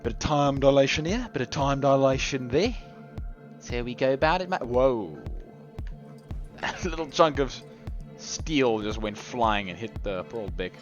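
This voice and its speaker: Hoarse guy commentating a game, chatty and rambly, bouncy pitch, faint music, kinda muffled audio. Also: lively intonation, a little slow. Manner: chatty, rambling, lots of restarts and laughs. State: hoarse, losing his voice. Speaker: tournament commentator. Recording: dull lo-fi recording with faint music.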